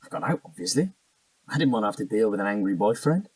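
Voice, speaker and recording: Husky, defensive cheating husband. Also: male voice, lowish voice, animated, quick pace, darkish tone, no room sound, far from the mic, poor audio. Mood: cornered, irritated. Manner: defensive, protesting, stammering when cornered. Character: defensive, evasive cheating husband under questioning. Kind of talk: naturalistic audio drama dialogue.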